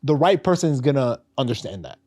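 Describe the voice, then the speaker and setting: A man's voice: mid-range voice, big pitch swings, quick pace, darkish tone, dry recording, studio quality. A man in his thirties with a New York accent, co-hosting a podcast in casual, emphatic, slangy chat. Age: 30s. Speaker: podcast co-host. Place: podcast studio.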